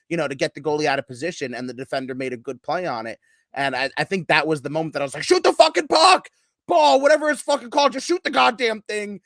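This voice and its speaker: Man, sports podcast co-host, casual, animated, profane, slightly high voice, dry sound. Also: no echo. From United States. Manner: animated, swears, bursts into yelling.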